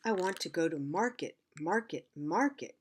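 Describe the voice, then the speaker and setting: A woman voicing a small, headstrong little girl pot who begs in a high sing-song. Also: expressive, moderate pace, slightly rough. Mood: pleading.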